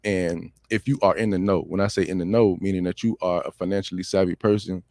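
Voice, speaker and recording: A man's voice: low voice, steady tone, fast talker, a little mellow, dry recording. An American man co-hosting a financial advice talk, conversational and reassuring, repeating his points and often checking in with the listener. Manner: reassuring, repetitive, checks in with "right?". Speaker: talk show co-host on real estate loans.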